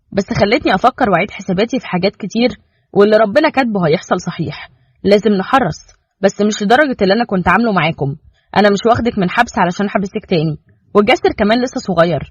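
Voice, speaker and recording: Brief: woman doing a stern, protective Egyptian cop husband, husky, rapid-fire, dull dry storybook audio. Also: mid-range voice, dry recording. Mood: tender. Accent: Egyptian Arabic. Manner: firm, lecturing, rapid. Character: protective young male police officer, stern but loving husband. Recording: dull, muffled, dry recording.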